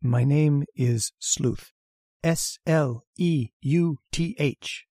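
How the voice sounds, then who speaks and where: A woman's voice: sits low, drawn-out words, warm tone, breathy edge, no room sound, clean studio sound, full character voice. A woman playing a stern, pious male lodger in an old-time radio drama, speaking in a clipped, severe manner. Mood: cold, restrained.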